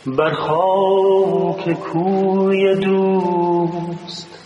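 A high male voice sings classical Persian verse in slow, drawn-out phrases. The recording is distant and dull, with long echoing reverb and music. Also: flat delivery, dark tone. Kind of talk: slow classical Persian vocal singing of poetry.

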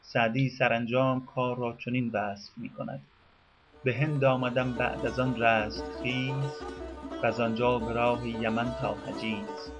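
A man narrating a scripted radio program in a measured, formal way, slowing down to recite classical verse. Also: medium pitch, level tone, faint music behind.

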